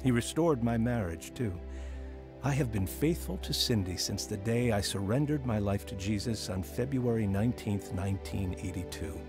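Male actor as earnest american dad telling his testimony, lowish voice, music underneath, radio drama. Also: normal speed, close to an everyday voice. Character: earnest repentant father and husband telling his life story. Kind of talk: dramatized first-person narration in a radio drama.

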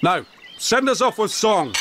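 A man's voice: sits high, very animated, unhurried, crowd noise, noisy background. A man playing a proud, fatherly elder in a fantasy audio drama, speaking with earnest ceremony. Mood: proud, ceremonial.

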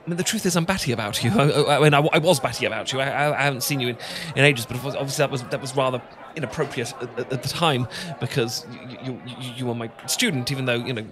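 A man's voice: mid-range voice, quick pace, few pauses, a crowd in the background, natural voice. A man with a British accent playing a flustered, smitten young English professor in a romantic audio roleplay, stammering and politely old-fashioned. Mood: nervous, confessing. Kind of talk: romantic ASMR roleplay acting.

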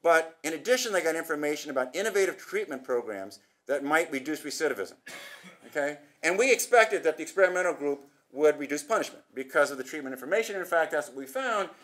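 A middle-aged man giving an academic conference talk at a podium, brisk and gesturing, posing rhetorical questions. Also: on the high side, lively intonation, fairly bright tone. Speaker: conference speaker. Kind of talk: academic presentation. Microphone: podium microphone.

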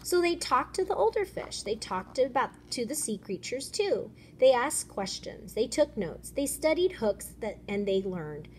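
A woman who is a schoolteacher, reading a children's picture book aloud with expressive storytelling. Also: fairly high pitch, unhurried, few pauses. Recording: noisy room ambience.

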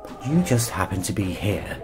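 Low-voiced sneering schemer of a man. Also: big pitch swings, normal speed. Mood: menacing. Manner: sarcastic, condescending. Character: sneering, scheming, self-serving human traveller, menacing and sarcastic. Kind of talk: audio drama acting.

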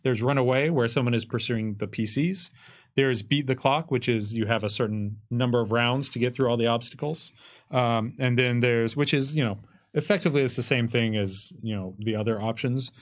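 A man in his thirties giving casual explanations as a game designer, in a conversational, hedging way full of asides. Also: mid-range voice. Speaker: game designer answering rules questions. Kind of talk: casual explanatory panel talk. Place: indoor studio table with curtain backdrop. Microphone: tabletop microphone on a stand.

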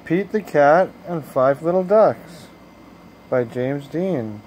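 A man reading a children's bedtime story aloud as a storyteller, with expressive, deliberate phrasing, recorded on a handheld phone at home. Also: a little high, a little hiss or hum, rough recording. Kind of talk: bedtime picture-book read-aloud. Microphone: handheld phone camera.

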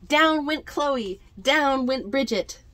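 A woman's voice: sits high, slow pace, no room sound. A woman in her twenties reading a picture book aloud to children, expressively, with chatty asides. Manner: expressive, addresses young listeners directly. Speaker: library storytime reader. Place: indoors among library bookshelves.

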